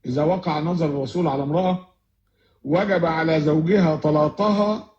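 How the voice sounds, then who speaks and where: A man's voice: a little high, racing, darkish tone, reverberant, dull audio, slight background noise. A man, preaching in a video clip that is played back. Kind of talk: religious explanation.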